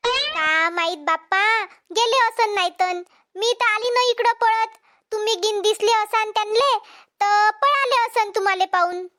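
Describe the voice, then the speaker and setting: A woman's voice: extremely high pitch, a little slow, bright, forceful tone, heavily acted. A woman voicing a flustered, scolding village farm wife in a cartoon comedy, in Vidarbha Marathi dialect with a childlike voice. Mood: calmer. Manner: dramatic, complaining, repeats herself.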